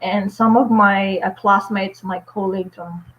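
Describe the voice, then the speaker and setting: A woman's voice: mid-range voice, fairly even intonation, measured pace. A woman in her forties, a nurse and vlogger chatting on a casual live stream at home, upbeat, with many fillers and little laughs. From Philippines. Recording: dull lo-fi stream audio.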